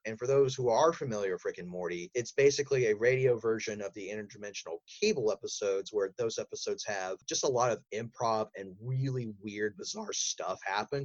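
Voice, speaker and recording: A man chatting casually as an interview guest, telling rambling, animated stories. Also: lowish voice, no room sound. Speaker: voice actor, audio drama creator being interviewed. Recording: dry, slightly muffled close recording.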